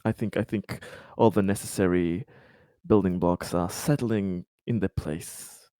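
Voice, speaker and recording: A man's voice: low voice, dark tone, no echo, clean studio sound. A man playing a stressed, paranoid corporate director in a tabletop roleplay, stammering and swearing. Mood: cautiously hopeful. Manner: halting, stammering, sweary, weary sighs. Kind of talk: improvised tabletop roleplay dialogue.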